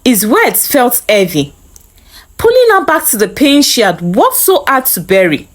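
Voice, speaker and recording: Woman narrating with a lively, high voice. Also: measured pace. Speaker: storyteller, narrator. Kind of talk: scripted dramatic story narration.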